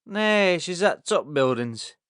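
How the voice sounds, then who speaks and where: A reedy old Yorkshire farmer, as a male narrator puts him on, small, tipsy and curt. The voice is pitched up from the reader's own, in a storybook reading. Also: very animated, takes it slowly, a bit bright, clean tone, dry recording, clean studio sound, full character voice.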